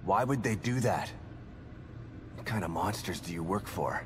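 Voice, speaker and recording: A man's voice: low-pitched, lively intonation, normal speed, noisy background. An actor playing a weary, determined father in a video game, talking in a terse, blunt, wry way. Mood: troubled. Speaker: father searching for his daughter in a horror game. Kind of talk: video game cutscene acting. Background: background music.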